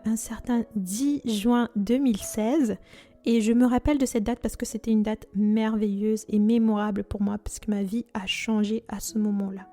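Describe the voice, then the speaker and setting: Young woman, twenties, from Congo, podcast host, earnest and devout, warm-toned, music faint behind. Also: a little high. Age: 20s. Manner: earnest, devout, chatty with fillers. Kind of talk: faith podcast monologue.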